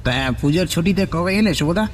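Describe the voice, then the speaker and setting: A man's voice: high-pitched, fast talker, slight background noise, lightly acted. A man voicing a keen young male friend in a horror cartoon, in a reverberant recording. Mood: friendly, curious. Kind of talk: Bengali horror cartoon voice acting.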